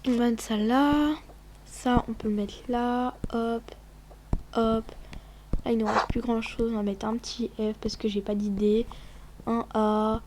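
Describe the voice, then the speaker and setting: A woman's voice: on the high side, fairly even intonation, unhurried, a little mellow, slight background noise. A woman with a cold, sniffling as she chats casually over her gameplay, rambling and often dropping to a whisper. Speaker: gaming vlogger.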